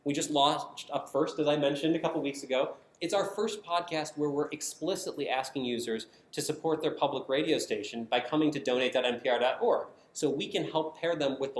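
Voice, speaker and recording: Man presenting in an echoey room. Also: mid-range voice. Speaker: conference presenter, design team member at a public radio network. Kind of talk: prepared conference presentation. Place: indoor conference talk.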